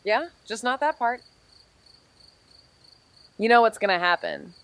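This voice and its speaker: A woman's voice: a little high, animated, unhurried, fairly bright tone. Wry, irreverent young woman sleuth. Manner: slangy, wry, casual. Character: Amy, irreverent, impulsive young woman amateur detective. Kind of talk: naturalistic audio drama acting.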